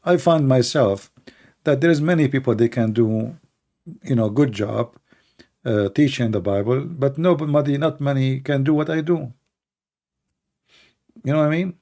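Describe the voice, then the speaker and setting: A man's voice: mid-range voice, normal speed, long pauses, dark tone. A middle-aged man with an Arabic accent, a native Arabic speaker, talking casually and bluntly while answering questions, with many fillers and restarts. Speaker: livestream debate host.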